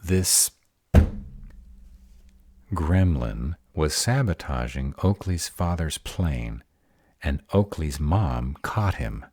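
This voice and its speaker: Fantasy roleplay were-dragon, male actor: rumbling very low, husky, grumbly and easily sidetracked. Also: animated, very slow, pauses often, darkish tone, heavily acted. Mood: exasperated. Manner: grumbling, conversational asides. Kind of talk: audio roleplay fantasy drama.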